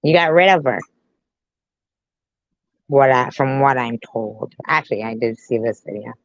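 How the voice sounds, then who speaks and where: A woman's voice: rumbling low, unhurried, dry recording, highs cut off. A woman doing sarcastic reaction commentary on a YouTube video, with mocking asides.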